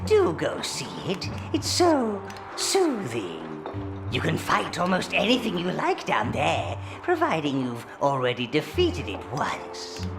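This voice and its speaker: A man voicing an old, fawning, gleefully evil minion adviser in a video game, with a creaky voice and a theatrical, drawling manner. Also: fairly high pitch, huge pitch swings, slow, over music, exaggerated voice. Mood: pleased, sly. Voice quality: creaky, gravelly. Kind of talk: game character dialogue, advising and instructing.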